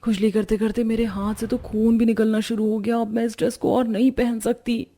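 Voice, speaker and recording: A woman's voice: a little high, little pitch movement, brisk, warm tone. A woman voicing a discontented young daughter-in-law in a cartoon story, complaining in a plaintive way. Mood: distressed. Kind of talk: Hindi cartoon story dialogue.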